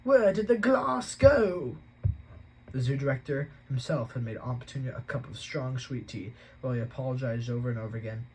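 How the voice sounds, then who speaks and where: A man reading a novel aloud at a steady pace, with an occasional stumble he corrects. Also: mid-range voice, quick pace, hardly any pauses, a little hiss or hum, poor audio. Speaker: audiobook reader.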